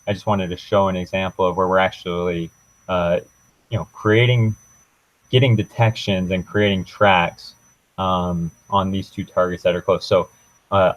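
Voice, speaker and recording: A young man giving an informal technical presentation as a student, walking through a software demo in a hesitant, halting way. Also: low-pitched, no echo, lo-fi sound.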